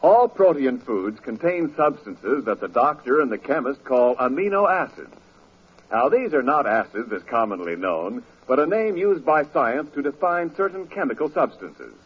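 American male announcer, 1940s radio style, swooping intonation, slightly rough voice, dull vintage recording. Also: mid-range voice, sing-song, moderate pace.

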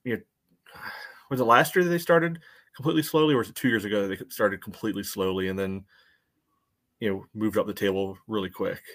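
A man co-hosting a soccer podcast, giving casual analysis in a measured, halting way full of verbal padding. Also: lowish voice, fairly bright tone. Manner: hesitant, measured, frequent "you know" hedging.

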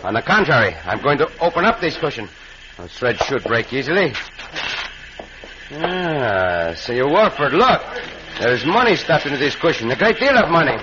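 An actor playing a confident, gallant Mexican cowboy hero in a radio western, with a Mexican accent and formal, deliberate phrasing. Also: male voice, fairly high pitch, big pitch swings. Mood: cool, assured. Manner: formal, deliberate phrasing with Spanish words sprinkled in. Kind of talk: old-time radio western acting. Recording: noisy muffled old radio recording.

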